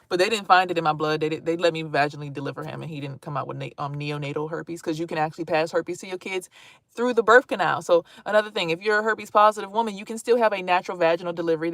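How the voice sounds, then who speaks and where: A woman's voice: fairly low pitch, no echo, studio quality. Blunt chatty woman in her thirties. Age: 30s. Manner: blunt, animated, swearing freely, chatty asides to viewers. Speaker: vlogger streaming to viewers. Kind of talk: casual live-stream talk.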